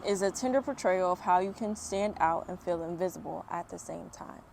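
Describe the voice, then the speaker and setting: A woman reading a children's picture book aloud in an expressive, unhurried way, with informal chatty asides. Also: on the low side, gravelly, a little hiss or hum.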